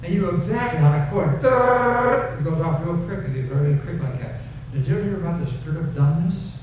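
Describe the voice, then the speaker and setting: A man's voice: fairly high pitch, animated, quick pace. An older man preaching a sermon from a pulpit in a folksy, conversational way, repeating phrases for emphasis, then leading a prayer. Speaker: pastor. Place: wood-paneled church stage, indoors. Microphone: headset mic at a pulpit. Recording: echoey room, distant and dull, noisy.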